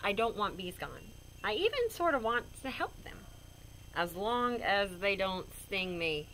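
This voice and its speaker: A stubborn, melodramatic worrier from a children's storybook, as a woman reader puts it on. The delivery is grudging and dramatic, with lively swings of pitch. The voice is bright, over faint background noise. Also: unhurried, low-quality recording. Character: bee-fearing, stubborn, melodramatic storybook character.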